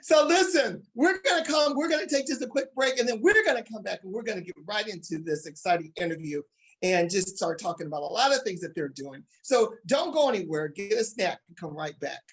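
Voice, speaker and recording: A man hosting a talk show in an upbeat, excited and emphatic way, addressing his audience directly. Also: high voice, brisk, poor audio.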